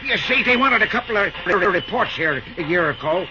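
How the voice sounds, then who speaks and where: A man acting a folksy, excitable, loyal railroad engineer with an American accent and rustic slang in an old radio drama. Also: high-pitched, a little fast. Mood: keen, agreeable. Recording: muffled, very noisy old radio recording.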